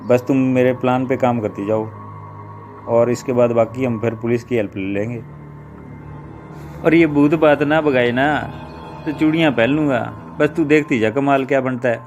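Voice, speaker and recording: A man's voice: medium pitch, normal speed, darkish tone, highs cut off, natural voice. Man playing a confident, boastful husband over music. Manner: boastful, reassuring. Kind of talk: dramatized children's story. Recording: music behind the voice.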